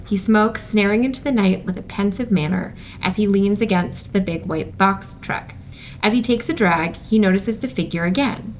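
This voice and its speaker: A woman speaks at a medium pitch as the host and narrator of a script table read. There is some noise in the background behind her voice. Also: normal speed. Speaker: host and stage-direction reader.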